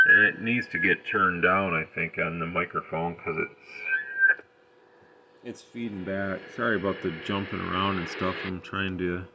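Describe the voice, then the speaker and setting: Male hobbyist reviewer, low creaky voice, tentative and informal. Muffled lo-fi home recording with faint noise. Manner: unpolished, tentative, thinking aloud. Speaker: CB radio hobbyist reviewing gear. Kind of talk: casual hands-on gear demonstration.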